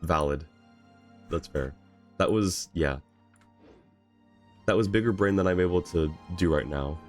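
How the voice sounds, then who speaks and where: Male livestreamer, very low pitch, casual, halting chat over faint music. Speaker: game streamer.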